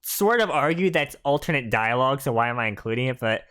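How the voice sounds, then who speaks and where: A man's voice: medium pitch, lively intonation, fast talker, fairly bright tone, clean tone. A man giving casual, chatty, explanatory commentary over a video game walkthrough.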